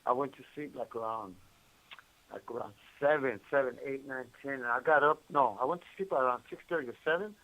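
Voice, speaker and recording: A man chats casually over the phone with a bright, forceful tone. His pitch sits in the middle, and he speaks in slangy bursts, often repeating himself. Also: a little fast, rough recording. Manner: slangy, repetitive, talks in bursts. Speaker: incarcerated caller. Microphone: phone call.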